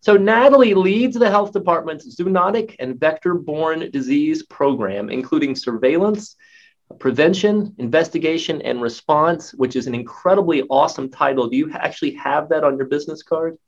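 A man's voice: a little high, moderate pace. Upbeat male host, dull lo-fi sound. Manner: upbeat, enthusiastic. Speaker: interview host. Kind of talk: interview hosting, casual.

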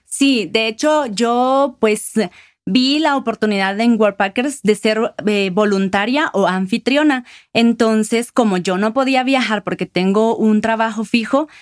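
Una mujer guatemalteca con acento guatemalteco, invitada en un podcast, que responde de forma pausada y con algunas vacilaciones. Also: female voice, on the high side, no echo, clean studio sound. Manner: measured, with hesitation fillers. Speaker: guest, sales manager. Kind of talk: interview answers.

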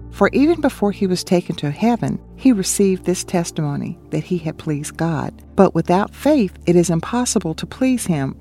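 A woman co-hosts a radio Bible study, reading verses in a calm and deliberate way. Her voice sits on the low side. Faint music plays behind her. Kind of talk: scripted scripture reading.

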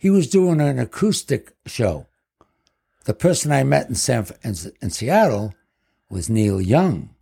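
Older man in his 70s, guest storyteller, gravelly husky voice, rambling. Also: medium pitch, big pitch swings, unhurried, mellow. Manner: rambling, leans on "basically". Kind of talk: casual storytelling.

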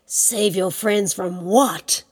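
A woman's voice: medium pitch, drawn-out words, breathy edge, voice pushed down. A woman voicing a big male tiger in a folktale, gullible and anxious, grumbling and pleading with stammers. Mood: gruff, curious. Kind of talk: animated oral folktale storytelling.

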